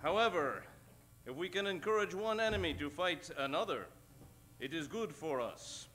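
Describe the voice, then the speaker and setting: An actor playing a pompous, exasperated chief in an old-time radio western spoof, speaking in a stilted, weary way. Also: male voice, fairly high pitch, animated, normal speed, fairly bright tone. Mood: deliberating.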